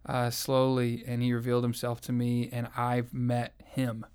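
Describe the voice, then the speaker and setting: An earnest young man recounts his personal testimony in a reflective, conversational way. His voice is smooth and clear. He keeps his pitch fairly flat and even as he speaks. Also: unhurried. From American South. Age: young adult. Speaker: interviewee sharing his faith story.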